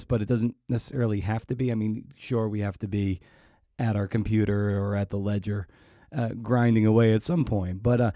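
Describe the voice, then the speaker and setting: A man's voice: on the low side, warm tone, no room sound. A man interviewing a guest as a podcast host, casually working his way toward questions in a rambling, hesitant manner.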